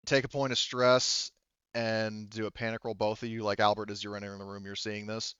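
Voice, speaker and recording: A man narrating a tabletop roleplaying game as game master, informal and halting, often correcting himself. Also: lowish voice, flat delivery, fairly bright tone, clean tone, dry recording, dull audio.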